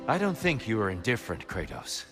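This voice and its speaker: An actor playing a wise, theatrical godly mentor in a video game, speaking in an eloquent, probing manner. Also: male voice, lowish voice, sing-song, fairly quick. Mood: calm, counselling. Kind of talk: cinematic video game acting. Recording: loud music behind the voice.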